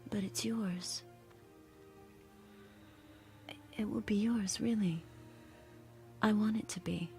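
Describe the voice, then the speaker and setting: Young woman with a secret, audio drama, slow hushed murmur, creaky, halting and anxious. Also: medium pitch, steady tone, faint music behind. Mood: hushed, hopeful. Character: secretive, anxious young woman living under oppressive rule. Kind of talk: intimate audio drama acting.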